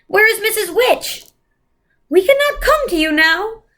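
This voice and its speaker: A woman's voice: very high-pitched, lively intonation, unhurried, fairly bright tone, voice pushed up, heavily acted. A woman voicing an otherworldly female being in a storybook, reciting cryptic lines in a lofty, theatrical way. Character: otherworldly female being quoting riddling verse, solemn and theatrical.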